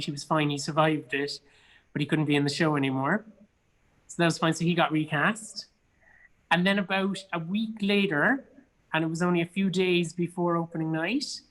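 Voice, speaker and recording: A man's voice: a little high, a bit bright, poor audio. A man from Cork with an Irish accent, an actor chatting casually and telling anecdotes as an interview guest. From Cork, Ireland.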